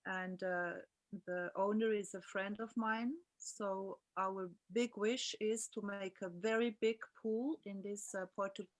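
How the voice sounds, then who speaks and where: A woman's voice: mid-range voice, steady tone, unhurried. A woman guest speaking earnestly in short phrases on a video call, sharing plans with colleagues. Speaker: guest, aquatic therapy pioneer. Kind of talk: casual conversation on a video call.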